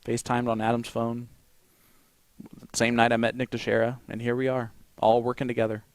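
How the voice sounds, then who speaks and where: A man's voice: medium pitch, completely flat delivery, fairly quick, noisy background. A man with an Indiana accent calling a darts match play by play, joking and teasing his co-commentator. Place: commentary desk at a darts tournament.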